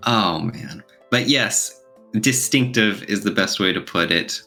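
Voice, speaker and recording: A man's voice: lowish voice, takes it slowly, bright, forceful tone, soft background music. A man chatting casually as a podcast co-host, talking in an enthusiastic way.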